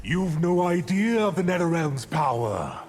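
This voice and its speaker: Arrogant evil sorcerer, slow and sweeping. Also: male voice, a little high, very animated. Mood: defiant, menacing. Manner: haughty, deliberate, theatrical speech. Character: arrogant, menacing evil male sorcerer of the netherworld. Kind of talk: dramatic video game villain acting. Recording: long hall reverb, music underneath.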